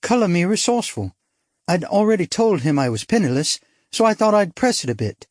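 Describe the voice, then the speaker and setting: A daring young actress with an arch, theatrical wit, as a male audiobook narrator puts her on. The voice sits high and light, teasing and quick with a line. It is recorded dry and close, with no room sound. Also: sing-song, dry recording. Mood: arch, playful. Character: witty, daring young upper-class Englishwoman, an actress.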